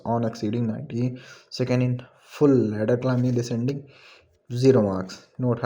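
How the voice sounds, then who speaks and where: A man with an Indian accent giving an informal explainer, reading out an official notice haltingly and commenting on it as he switches between Hindi and English. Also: medium pitch, dark tone. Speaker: exam information presenter.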